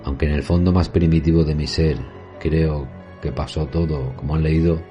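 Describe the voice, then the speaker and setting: A man playing a haunted professor, recounting a horror tale in measured, solemn, literary narration. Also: rumbling low, steady tone, mellow, lo-fi sound. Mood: sombre recollection. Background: music.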